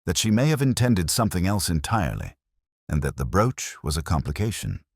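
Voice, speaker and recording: A man voicing a mild, shrewd English police inspector in a mystery story, speaking gently, smoothly and politely. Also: low-pitched, sing-song, fairly quick, no echo, clean studio sound, exaggerated voice. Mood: quietly shrewd. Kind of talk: audio mystery story dialogue.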